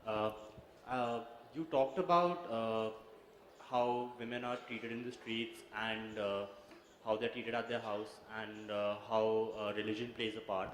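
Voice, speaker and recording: A man's voice: lowish voice, fairly even intonation, a little slow. Hesitant man asking from the audience. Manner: hesitant, lots of uh fillers. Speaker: audience member asking a question. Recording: echoey hall with faint noise.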